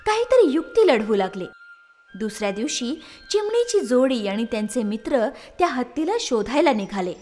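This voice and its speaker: High, lively woman storyteller. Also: moderate pace, soft background music. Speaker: narrator. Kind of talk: scripted children's story narration.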